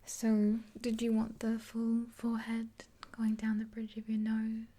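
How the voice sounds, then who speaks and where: A woman in her twenties whispering gently and breathily in an ASMR roleplay, close to the mic. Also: a little high, completely flat delivery. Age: 20s. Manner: gentle, unhurried. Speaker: ASMR creator playing a makeup artist. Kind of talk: ASMR roleplay, soft rambling chat. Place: small indoor room. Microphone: close mic, face near camera.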